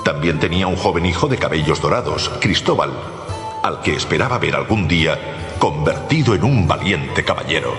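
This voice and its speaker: Deep-voiced male storyteller in long reverb. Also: moderate pace. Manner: expressive, theatrical storytelling. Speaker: narrator. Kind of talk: dramatized storybook narration. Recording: echoey long reverb, music behind.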